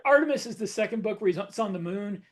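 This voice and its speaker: A man's voice: high-pitched, animated, rapid-fire. A man co-hosting a podcast, opinionated and rambling, often searching for words. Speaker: podcast co-host. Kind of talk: casual podcast discussion.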